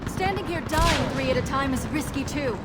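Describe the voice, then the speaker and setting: An actress voicing a blunt, battle-weary alien army lieutenant in a video game, with a clipped, urgent military delivery. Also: female voice, high voice, animated, moderate pace. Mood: bitter, weary. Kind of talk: dramatic video game acting. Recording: very noisy battlefield mix with gunfire.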